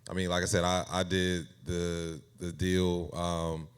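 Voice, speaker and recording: A man with a deep, resonant voice talks casually as an interview guest. He works through his thoughts as he goes, with a halting, conversational delivery. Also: monotone, smooth. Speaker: guest AI music creator.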